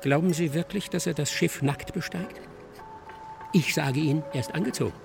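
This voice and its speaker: Indignant scholar, creaky higher-pitched voice. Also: male voice, moderate pace, over music. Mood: earnest, challenging. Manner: earnest, rhetorical, reproachful. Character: indignant, idealistic male scholar with impassioned convictions. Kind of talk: stylised German radio play dialogue.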